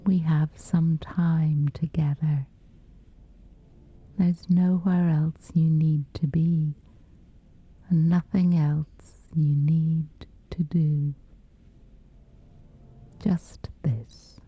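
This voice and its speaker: A woman gently guiding a scripted sleep meditation in a soothing, breathy whisper, unhurried throughout. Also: low voice, level tone, lo-fi sound. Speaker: meditation guide.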